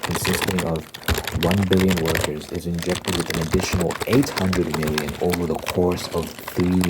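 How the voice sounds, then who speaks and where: A man with a low voice talks through his thoughts as an ASMR rambler. He explains conversationally, stopping and restarting as he goes. The recording is noticeably noisy, with clicks, keyboard typing and crinkling. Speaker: solo host of a rambling channel.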